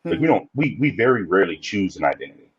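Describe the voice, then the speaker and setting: A man's voice: on the low side, very animated, fast talker, slightly rough, dry recording, muffled recording. A man guesting on a podcast, talking reflectively in a casual interview and often restarting his sentences. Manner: reflective, speaks in restarts and repeats.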